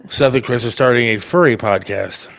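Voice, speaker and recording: A man hosting a talk show in casual conversation, with hesitant, halting phrasing. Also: medium pitch, vocal fry, fairly bright tone, no room sound. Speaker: talk show host, radio producer.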